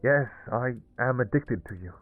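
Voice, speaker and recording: A man playing an anguished, devoted vampire king in a fantasy romance audio roleplay, speaking in halting, pleading, formal old-fashioned phrases. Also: mid-range voice, phone-quality sound, soft background music, close to an everyday voice. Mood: pleading, terrified. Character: anguished, devoted vampire king, obsessive lover and new father.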